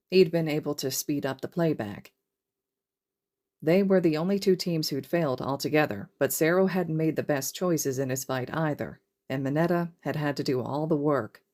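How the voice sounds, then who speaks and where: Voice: medium pitch, darkish tone. A narrator reading scripted fiction as an audiobook-style story. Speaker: podfic narrator.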